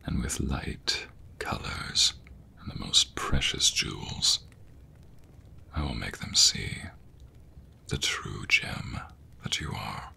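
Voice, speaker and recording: A man playing a devoted king in a romantic fantasy ASMR roleplay, speaking tenderly in a breathy, gravelly murmur with formal, courtly phrasing. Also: extremely low pitch, slow, bright, forceful tone, heavily acted. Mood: solemn, devoted. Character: devoted young king secretly in love with a commoner.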